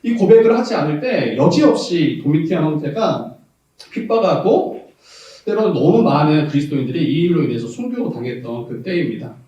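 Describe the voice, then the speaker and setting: Man, Jeolla dialect, pastor's sermon, somewhat high voice, very roomy echo. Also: very animated, fairly quick, mellow, lo-fi sound. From South Jeolla, Korea. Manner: candid, reminiscing, laughs often, rises to emphasis. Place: church sanctuary. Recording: far-off echoey church audio.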